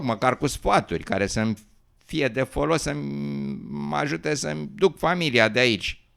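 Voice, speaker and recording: A man's voice: medium pitch, creaky, lightly acted. A man playing a young newt, an earnest, adventurous dreamer given to worry and doubt, in an educational radio drama. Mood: mocking disbelief.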